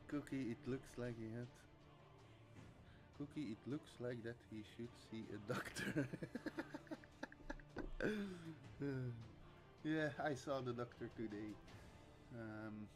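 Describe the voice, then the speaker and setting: A man with a Dutch accent, a native Dutch speaker recovering from a flu, chatting casually and chuckling while he streams his mask making. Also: medium pitch. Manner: relaxed, chuckles often, reads chat aloud. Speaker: cosplay mask maker streaming. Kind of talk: casual livestream chat while crafting. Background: faint music.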